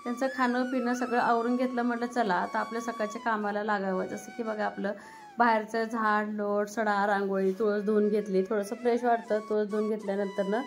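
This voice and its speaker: A woman's voice: medium pitch, level tone, fairly quick, faint music behind. A woman with a rural Maharashtrian Marathi accent, chatting casually as she narrates a home cooking vlog. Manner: chatty, conversational. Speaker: family vlog host.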